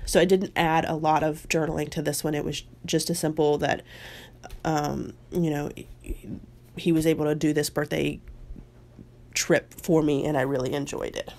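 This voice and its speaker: A woman in her thirties, slightly hoarse from a cold, narrating a scrapbooking process video in a chatty, personal way. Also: low-pitched, little pitch movement, moderate pace, a little mellow. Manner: chatty and personal, with frequent fillers and restarts. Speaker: scrapbooking channel host.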